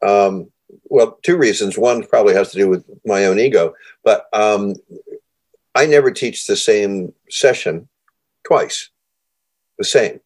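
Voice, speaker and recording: Male host, lowish voice, eager and rambling, restarts a lot. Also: a little slow, long pauses, darkish tone, slightly rough. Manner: enthusiastic, rambling, many fillers and restarts. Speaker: interview host. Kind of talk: conversational interview.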